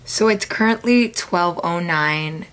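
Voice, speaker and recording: A tired young woman in her twenties, losing her voice, chatting warmly to viewers on a handheld camera in a dim bedroom late at night. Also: lowish voice, slow, loud music behind the voice. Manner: chatty, giggly, fond asides to viewers. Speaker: vlogger. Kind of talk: casual vlog chat to camera. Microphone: camera held at arm's length.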